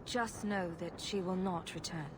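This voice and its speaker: A woman's voice: fairly low pitch, unhurried, close to an everyday voice. An actress playing a sarcastic, flippant female rogue in a video game, with a wry, breezy manner. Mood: matter-of-fact. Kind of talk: video game dialogue acting. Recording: clear noise behind the voice.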